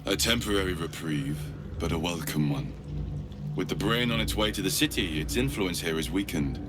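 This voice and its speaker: A man's voice: low-pitched, animated, normal speed, noisy background. A man playing a grave, learned guardian in a video game, speaking formally and solemnly. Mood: ominous, grave. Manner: formal, solemn, portentous delivery. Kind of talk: dramatic video game dialogue.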